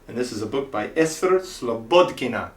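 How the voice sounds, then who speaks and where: A man reading a children's storybook aloud in an expressive, theatrical and deliberate way. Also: medium pitch. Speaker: school leader reading to students.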